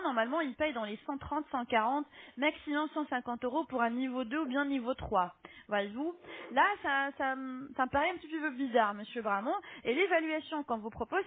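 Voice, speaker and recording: A woman making a phone sales pitch as an appointment-booking agent, polite but insistent, often ending on a checking question. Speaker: telemarketing agent booking health insurance appointments. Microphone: telephone. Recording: lo-fi muffled phone call.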